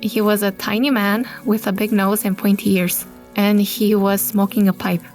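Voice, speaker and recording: A woman's voice: mid-range voice, little pitch movement, normal speed, darkish tone, faint music behind, natural voice. A woman playing a practical young mother. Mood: plain. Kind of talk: audio drama acting.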